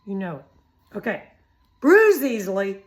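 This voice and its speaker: A woman's voice: medium pitch, very animated, measured pace. A middle-aged woman giving an informal, chatty health talk, blunt and teasing, firing rhetorical questions at the listener. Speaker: health vlogger.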